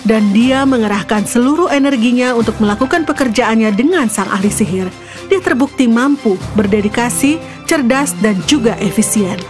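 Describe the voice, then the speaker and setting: A woman's voice: on the high side, normal speed. A woman reading a scripted children's fairy tale as the storyteller, with music behind her. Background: background music.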